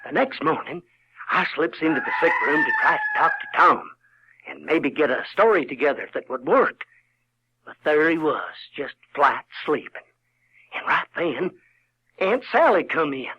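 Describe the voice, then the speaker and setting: A man's voice: fairly high pitch, huge pitch swings, moderate pace, breathy edge, exaggerated voice. A man playing a folksy rural Southern boy who tells his adventures in an audio drama. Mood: reflective, folksy. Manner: folksy, colloquial. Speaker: narrator in character. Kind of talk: in-character storytelling, audio theater. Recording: dull 1970s recording with music.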